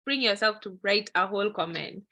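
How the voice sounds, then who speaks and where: Woman hosting a q and a, bright tense voice, chatty, reads questions out. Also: medium pitch, fairly quick, no echo. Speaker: session moderator. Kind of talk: reading audience questions.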